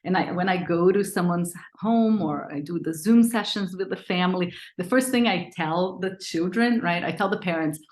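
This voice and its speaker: A woman whose first language is Portuguese leads a live online parenting session. Her voice sits a little low, and her delivery is earnest and conversational. She reads audience chat replies aloud as she goes. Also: moderate pace, few pauses, a little mellow. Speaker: parenting coach.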